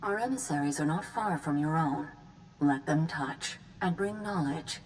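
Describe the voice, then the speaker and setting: A woman's voice: low-pitched, a little slow, a little hiss or hum, poor audio. An actress voicing a serene, ceremonious female emissary of an insect hive in a video game, formal and stately, speaking as "we". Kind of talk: scripted video game voice acting.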